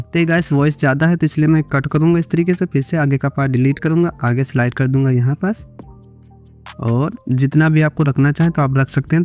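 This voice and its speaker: A man's voice: fairly high pitch, a little mellow. An Indian man narrating a step-by-step editing tutorial, mixing English words into repetitive instructions. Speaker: video editing tutorial creator.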